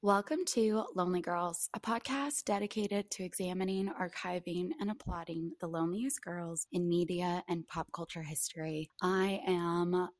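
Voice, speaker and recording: A woman's voice: on the low side, fairly even intonation, normal speed, hardly any pauses, no room sound, studio quality. A woman co-hosting a casual podcast, chatting in a playful, mock-dramatic way. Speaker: podcast co-host.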